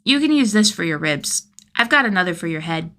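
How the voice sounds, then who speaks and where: A woman's voice: lowish voice, sing-song, fairly quick, fairly bright tone. A woman voicing an earnest, feisty nine-year-old boy with a blunt, eager manner in a story reading.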